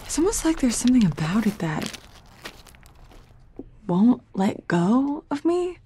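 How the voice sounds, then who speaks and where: A woman's voice: a little high, big pitch swings, unhurried, warm tone, breathy edge, exaggerated voice. A woman playing a defiant, sensitive schoolgirl who is new in town, in an audio drama, with an earnest, hesitant delivery. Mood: hesitant, wistful.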